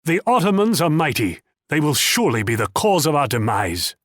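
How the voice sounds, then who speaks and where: A shrewd, moneyed Dutch commander for a strategy video game. His voice sits a little high for a man and swings freely up and down, captured close and dry. He sounds pompous and theatrical, talking down to his listener with proud self-assurance. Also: big pitch swings, moderate pace, no echo. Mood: gloomy. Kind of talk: video game character voice lines.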